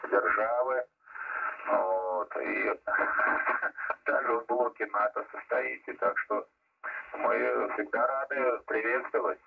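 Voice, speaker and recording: A man speaks slowly with a creaky voice in a casual ham radio contact. The transmission is narrow and phone-like, heard at a distance from the mic with a faint hum. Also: mid-range voice, steady tone. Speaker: amateur radio operator. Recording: thin phone-like radio signal, humming, distant.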